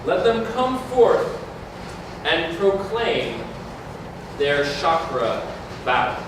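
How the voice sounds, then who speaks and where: A man playing a stately wedding officiant, high voice, slow and formal, distant mic, very echoey hall, background noise. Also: big pitch swings, exaggerated voice. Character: stately male wedding officiant leading the rites. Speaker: actor reading the officiant. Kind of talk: staged reading of a ceremonial verse masque. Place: large indoor community hall.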